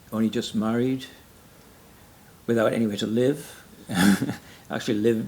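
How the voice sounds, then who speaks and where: An older man in his sixties from Wales with a Welsh accent, a retired pastor recalling his ministry in an interview. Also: on the low side. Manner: frequent backchannel mm and yeah, soft self-deprecating laughs. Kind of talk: reflective interview conversation.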